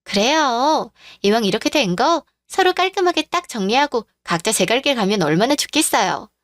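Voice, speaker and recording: A brazen mistress in a radio drama, played by a woman pitching her voice up, sweet on the surface and condescending underneath. She sounds shameless and self-assured, and the recording is dry. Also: very animated, normal speed, no room sound. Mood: smug. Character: brazen smug young mistress.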